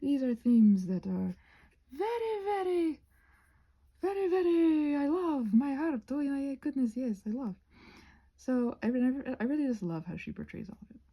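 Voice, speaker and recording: A woman chatting in a rambling, halting ASMR style, soft-spoken and often slipping into whispers. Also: high voice, animated. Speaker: ASMR creator rambling while painting a craft project. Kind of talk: ASMR rambling casual chat.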